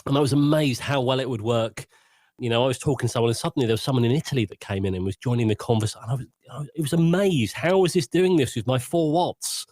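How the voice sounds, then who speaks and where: A man's voice: medium pitch, quick pace, darkish tone, no echo. A man chatting casually and candidly as a podcast panelist, in a self-deprecating way. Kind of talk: casual chat, personal anecdote.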